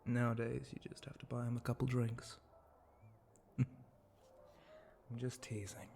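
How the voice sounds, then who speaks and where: Man voicing a breathy, flirty spider-monster bartender. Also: mid-range voice, monotone, a little hiss or hum. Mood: sly, playful. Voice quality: breathy, often dropping to a whisper. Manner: slow, seductive, teasing drawl with soft chuckles. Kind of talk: intimate ASMR roleplay.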